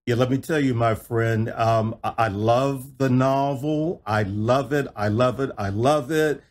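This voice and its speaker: Slow-talking exuberant male podcast host. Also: mid-range voice. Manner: exuberant, folksy, repeats himself, says y'all. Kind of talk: enthusiastic interview chat.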